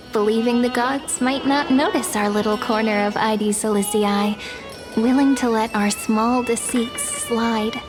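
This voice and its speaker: Fantasy game role: sweet young woman, high, reflective, music behind. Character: sweet, thoughtful, dreamy young village woman. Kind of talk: scripted game dialogue.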